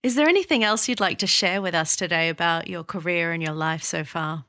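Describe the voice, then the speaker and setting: A woman's voice: lowish voice, brisk, pushed and bright, no room sound, clean studio sound. A woman hosting a podcast interview, asking short questions and laughing easily. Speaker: podcast host. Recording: music under the voice.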